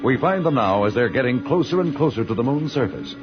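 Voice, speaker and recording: An actor as the grandiose, theatrical narrator of an old radio space adventure serial. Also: male voice, medium pitch, animated, fairly quick, close to an everyday voice. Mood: urgent, suspenseful. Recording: dull vintage radio recording, loud music.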